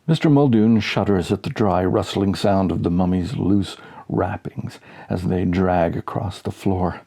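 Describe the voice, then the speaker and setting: A man narrates a comic short story in a dark, husky voice. His delivery is deadpan, building mock suspense through dramatic pauses, with a gravelly edge. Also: low-pitched. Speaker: audiobook reader. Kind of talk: scripted humorous story narration.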